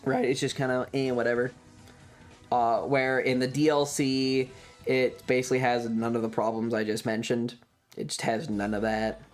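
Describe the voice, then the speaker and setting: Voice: medium pitch, level tone, moderate pace, faint music behind. A video game streamer chatting casually in a rambling, blunt way that is peppered with swearing. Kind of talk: casual livestream commentary.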